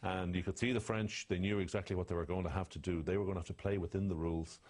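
A very deep-voiced man with a husky edge, speaking bluntly and with indignation as a studio pundit. His voice sinks lower and quieter when he condemns. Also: steady tone, fast talker. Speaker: rugby analyst. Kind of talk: studio match analysis.

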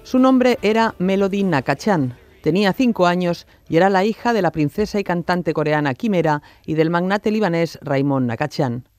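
High-voiced male true-crime narrator from a documentary. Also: lively intonation, normal speed, darkish tone, no echo.

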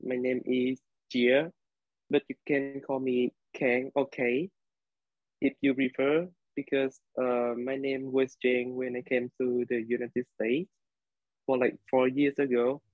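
A man's voice: mid-range voice, flat delivery, slow, dry recording. A young man from Vietnam with a Vietnamese accent, telling a class story over a video call, hesitant with many fillers. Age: young adult. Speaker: college student. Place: living room indoors. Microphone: laptop webcam on video call. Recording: dry, tinny video-call audio.

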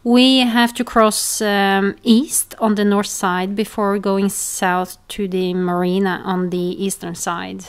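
A woman's voice: medium pitch, dry recording. A woman in her forties with a Norwegian accent, casually narrating a sailing vlog from outdoors on a boat. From Norway. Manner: relaxed explaining, pointing out sights. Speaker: sailing vlogger. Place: outdoors on a sailboat at sea.

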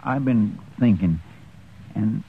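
An earnest, loyal sidekick in an old radio western. He speaks haltingly and humbly with a gravelly creak, on a dull, faintly noisy recording. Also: fairly low pitch, expressive. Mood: timid. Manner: hesitant, stammering, deferential. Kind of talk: 1950s radio western acting.

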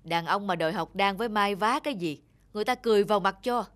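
A woman voicing a stern, traditional hunter father in a fairy-tale cartoon, gruffly lecturing. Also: mid-range voice, quick pace, fairly bright tone, close to an everyday voice. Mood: scornful. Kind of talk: animated fairy-tale dubbing.

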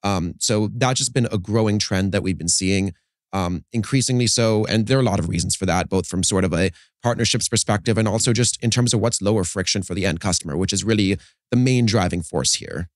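A man's voice: lowish voice, fairly quick, no echo, studio quality. A man in his thirties, a startup co-founder, explaining his business fluently in an interview, often adding "right?", his voice slightly worn from a busy conference. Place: conference podcast studio set, indoors. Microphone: studio mic on boom arm, wearing headphones.

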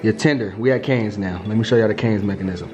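A man's voice: on the low side, quick pace, dull audio, music in the background. Hyped young man joking while cooking. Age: young adult. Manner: hyped, joking, talks to viewers with sound effects. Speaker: cooking partner. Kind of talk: playful cooking vlog chat. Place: home kitchen, indoors. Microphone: camera mic across the kitchen. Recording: echoey kitchen with background noise.

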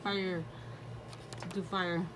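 A woman's voice: lowish voice, flat delivery, slow. A young woman, a mother, chatting casually as she shows off a new toy to viewers at home. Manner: chatty, narrates each toy piece, plays along with her daughter. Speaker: toy review vlogger, mother. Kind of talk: casual toy unboxing chat. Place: bedroom at home. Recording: noisy home phone recording.

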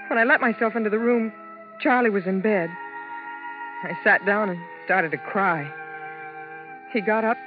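A woman's voice: mid-range voice, lightly acted. An actress playing a frightened, anxious young Las Vegas showgirl in a 1950s radio drama, with dramatic delivery. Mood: haunted, narrating. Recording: muffled old 1950s radio recording.

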